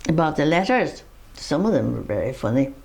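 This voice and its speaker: A woman's voice: low-pitched, sing-song, brisk, creaky, a little mellow. An older woman with a Norwegian accent, recalling her life in a personal interview, rambling through her story with laughs and restarts. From Norway.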